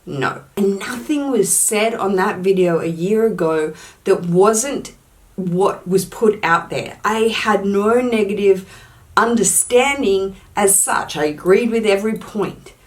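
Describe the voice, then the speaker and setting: A woman's voice: medium pitch, slow. A young woman, a YouTuber talking casually to camera. She stresses her points by repeating words and hedges her claims. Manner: uses "allegedly" often as a hedge.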